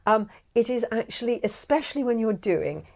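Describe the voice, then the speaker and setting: A middle-aged woman with a British accent, a guest in a casual chat, lively and animated. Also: fairly high pitch, moderate pace, creaky. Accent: British English. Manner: lively, animated, slips into character voices. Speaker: guest narrator and director. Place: small studio with shelves of toys. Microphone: lapel mic.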